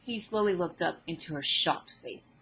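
Husky woman narrating a story. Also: lowish voice, expressive, normal speed, a bit bright, low-quality recording.

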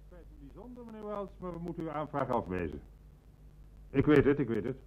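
An actor playing a polite, strained banker in a Dutch radio play. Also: male voice, on the high side, animated, a little fast, a little mellow, a little hiss or hum, lightly acted. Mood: formal, apologetic. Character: polite, strained male banker under suspicion. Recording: dull, band-limited radio play recording.

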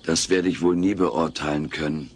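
An actor playing a cheeky, childlike furry alien with drawling wisecracks in a German sitcom audio drama. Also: male voice, very deep voice, steady tone, moderate pace, a little mellow, husky, exaggerated voice. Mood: wistful. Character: wisecracking furry male alien, over 200, childlike and tender.

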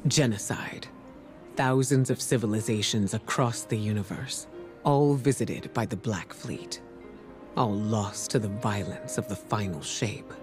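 A woman playing a composed, reflective commander and spymaster in a video game, speaking in a measured, solemn, deliberate way. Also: rumbling low. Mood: grave, pondering. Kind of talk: scripted video game dialogue. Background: game music.

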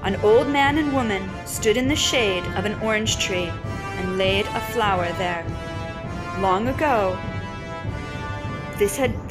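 A woman reading a children's picture book aloud like a storyteller, with measured, deliberate phrasing and pauses. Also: medium pitch. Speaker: read-aloud teacher and storyteller. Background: music behind the voice.

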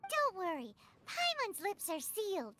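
A woman voicing a tiny, excitable fairy companion who speaks of herself in the third person, in a high, squeaky, childlike voice. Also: unhurried, no echo, soft background music, exaggerated voice. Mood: bratty. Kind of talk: game cutscene dialogue.

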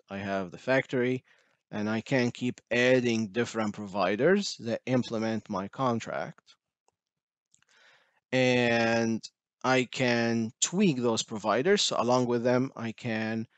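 A man's voice: fairly low pitch, no room sound. A man giving a technical talk over slides, explaining step by step with frequent "uh" fillers. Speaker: presenter.

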